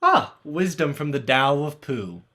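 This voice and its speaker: A man's voice: fairly high pitch, big pitch swings, unhurried, sharp tone, full character voice. A man playing an awkward, dryly polite teenage boy in a play reading, hesitant and wry. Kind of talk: dramatized livestream play reading.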